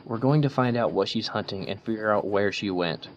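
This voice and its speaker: A man's voice: fairly low pitch, fairly quick, muffled recording, a little hiss or hum, close to an everyday voice. A man voicing an earnest, principled young female unicorn pony who lectures her friend.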